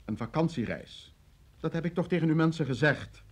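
Flustered, husky, high-voiced detained traveller. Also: male voice, lively intonation. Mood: defensive. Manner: halting, defensive, insistent. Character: flustered, indignant male traveller under interrogation. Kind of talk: radio drama acting.

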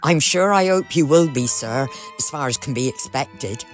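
A woman's voice: very deep voice, expressive, normal speed, soft background music. A woman playing a dutiful, fearful older housekeeper in a period ghost drama, deferential and theatrical. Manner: deferential, old-fashioned, theatrical. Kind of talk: period audio drama acting.

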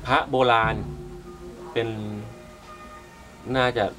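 A man's voice: drawn-out words, pushed and bright. A man in his thirties, chatting casually as he films a travel vlog at a cave entrance, his voice picked up by a handheld camera mic. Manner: chatty, easygoing, narrates as he walks. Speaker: travel vlogger. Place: outdoors at a cave entrance on a cliff. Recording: music playing under the voice.

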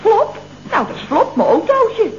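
Feisty, talkative secretary for a radio comedy; high-pitched, on a muffled, noisy old recording. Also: female voice, huge pitch swings, measured pace, heavily acted. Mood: breathless, gossipy. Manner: gushing, chatty, full of colloquial tag questions. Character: feisty, chatty, indignant single female office secretary. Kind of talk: 1970s Dutch comedy radio play acting.

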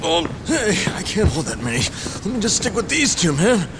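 An actor playing a hapless, meek warehouse worker in a video game, hesitant and apologetic. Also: male voice, sits high, very animated, moderate pace, very noisy. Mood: pleading. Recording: loud music behind the voice.

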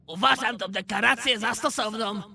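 A man playing a manic cartoon fighter with a very high, gravelly voice. He is strained, sweary and exasperated, in the manner of a parody anime dub. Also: moderate pace, pushed and bright, no room sound, heavily acted. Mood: mock-eloquent.

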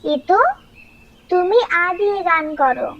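A tiny, cheerful bird in a puppet show. It has an extremely high childlike voice and gives instructions in a slow sing-song, with slightly muffled sound. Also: female voice, animated, gravelly, a little hiss or hum, heavily acted. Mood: encouraging. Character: small cheerful talking bird, eager game leader.

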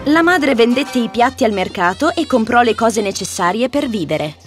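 A woman's voice: on the high side, lively intonation, moderate pace, over music. A woman storyteller giving scripted narration of a children's fairy tale.

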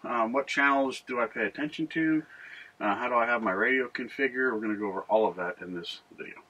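Bright-voiced older man explaining casually. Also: medium pitch, fast, highs cut off. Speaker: boating video host. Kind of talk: casual explanatory vlog talk. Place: small boat cabin.

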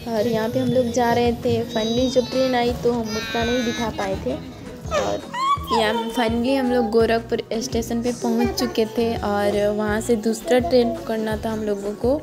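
A woman's voice: a little high, little pitch movement, moderate pace. A young woman, narrating her trip in a casual, rambling voice-over and often restarting her sentences. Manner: rambling, many "matlab" restarts. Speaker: vlogger. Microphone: phone. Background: background music.

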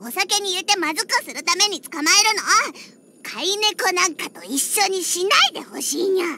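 Feisty little cat-girl, very high childlike voice, bright and sharp, huffy tsundere, anime game character, music behind. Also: normal speed, slight background noise, heavily acted. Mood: proud, mischievous. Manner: huffy, tsundere, slipping into cat-like "nya" endings. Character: feisty, proud young cat-girl bartender with cat ears. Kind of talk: Japanese anime-style game character voice lines.

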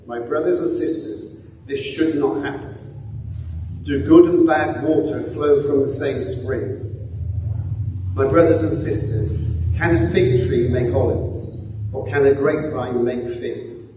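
An older man reads scripture in a slow, measured voice with a mellow, slightly raised tone. He sounds far from the mic, and a long church echo carries every word. Faint room hum sits underneath. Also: mid-range voice. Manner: measured, deliberate reading. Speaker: scripture reader. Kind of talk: slow Bible reading. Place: large church, at a lectern. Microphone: stand mic, filmed by a far camera.

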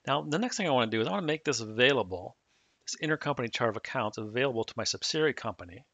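A man calmly explaining software setup step by step in a screen-recorded tutorial. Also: mid-range voice, racing, no echo. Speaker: software trainer. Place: at a computer, voice-over on a screen recording. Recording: dull dry mic audio.

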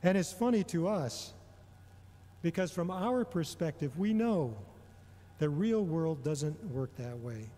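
Middle aged guy doing a church homily, slightly high mellow voice, careful pauses, big echoing hall. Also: animated. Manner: measured, deliberate, with frequent pauses between phrases. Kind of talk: sermon, prepared homily. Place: large indoor church or hall. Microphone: gooseneck microphone on a lectern. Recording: long reverberation of a large hall.

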